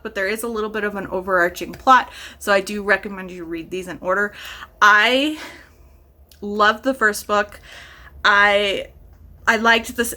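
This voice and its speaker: A woman talking casually and enthusiastically to her viewers as a book vlogger, with an animated, gushing manner. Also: on the high side. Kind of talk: casual chatty book review to camera.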